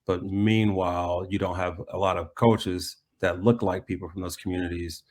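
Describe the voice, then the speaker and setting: An American man talking reflectively in a conversational interview, often pausing mid-thought to restate himself. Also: low-pitched, fairly even intonation, a little mellow. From United States. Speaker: soccer coach being interviewed.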